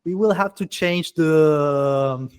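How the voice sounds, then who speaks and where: A man hosting an informal tech community presentation, speaking enthusiastically in halting, repetitive English with non-native-sounding phrasing. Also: fairly high pitch, clean tone. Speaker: meetup host presenting project proposals.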